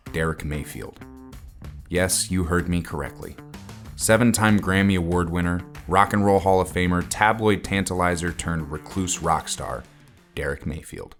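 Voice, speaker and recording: An actor playing an earnest male rock podcast host, narrating in a scripted documentary style with dramatic, deliberate phrasing. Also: low voice.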